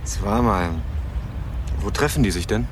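An actor playing a young student in a radio crime drama, speaking in a dry, laconic, probing way. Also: male voice, on the low side, lively intonation, moderate pace, slight background noise, lightly acted. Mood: probing, casual. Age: young adult. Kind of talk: 1980s radio crime drama, scripted narration and dialogue.

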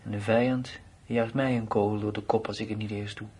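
An actor playing a blunt, uneasy soldier in a Dutch radio drama, with plain, colloquial, matter-of-fact delivery. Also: male voice, on the low side, flat delivery, fairly quick, a little mellow, husky. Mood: blunt, resigned. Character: blunt, uneasy male soldier left behind at his post. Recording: noisy old radio play recording.

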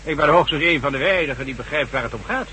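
A man's voice: a little high, animated, fast, bright, forceful tone. A man acting a blunt, authoritative official in a Dutch radio drama, commanding and dry as he briefs others. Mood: businesslike, grave. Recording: noisy old radio drama recording with hum.

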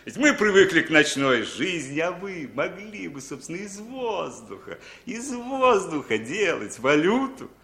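Animated, high-voiced jovial businessman. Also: unhurried, gravelly, hardly any pauses, roomy sound, exaggerated voice. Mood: insistent, persuasive. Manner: chatty, repeats words, chuckling, insistent. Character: talkative, worldly foreign capitalist businessman, jovial and persuasive. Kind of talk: 1960s Soviet radio play acting.

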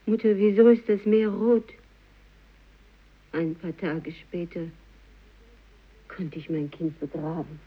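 Eine Schauspielerin spielt in einem literarischen Hörspiel eine gequälte Mutter, die um ihr Kind trauert. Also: female voice, lowish voice, flat delivery, slow, pauses often, breathy edge. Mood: haunted. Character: haunted mother recalling her dead child's questions. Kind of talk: 1950s literary radio play acting. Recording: dull, lo-fi radio recording.

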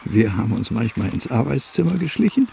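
Radio play lead: reflective, brooding brother, gravelly mid-pitched voice, measured literary delivery. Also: male voice, big pitch swings, mellow, a little hiss or hum. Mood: bitter recollection. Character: brooding man searching his brother's past. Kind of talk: radio play narration and dialogue.

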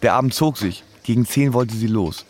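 A man's voice: mid-range voice, moderate pace, a little mellow, faint music behind, lightly acted. An actor playing an awkward, frustrated man who tells of his failed romances in a radio play. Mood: wry, bitter. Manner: sardonic in narration, stammering when flustered. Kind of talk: first-person radio play narration and dialogue.

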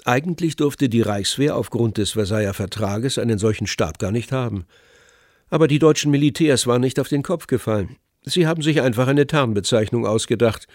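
Guy narrating a radio documentary, measured clear essay style reading, dry studio sound. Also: medium pitch, darkish tone, no echo.

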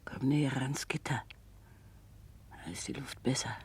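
Creaky, weary convict woman. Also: extremely low pitch, unhurried, darkish tone, exaggerated voice. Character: weary, plain-spoken female convict on the transport. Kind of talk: 1960s German radio drama acting. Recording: hissy old 1960s radio recording.

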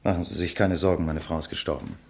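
An actor playing a condemned writer, composed and bitterly ironic, in a measured, eloquent 1950s German radio play style. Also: male voice, sits low, steady tone. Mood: dry, composed.

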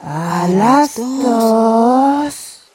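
A very slow-talking woman playing an obedient animal in a radio play. The voice is husky and fairly high. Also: expressive, a little mellow, heavily acted. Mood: cooperative. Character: obedient animal helper of the partridge. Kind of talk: amateur school radio play acting.

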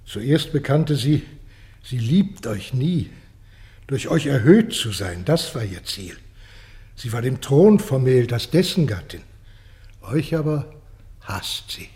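An actor playing a grave court messenger who declaims terrible tidings dramatically and deliberately in a classical radio play. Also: male voice, medium pitch, big pitch swings, a little mellow. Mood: grave, horrified.

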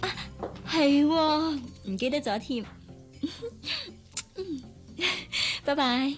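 A woman's voice: high-pitched, animated, extremely slow, over music, full character voice. A woman acting in a Cantonese radio drama with a Hong Kong accent, playing a young, choosy, sweetly teasing office worker. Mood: playful, teasing.

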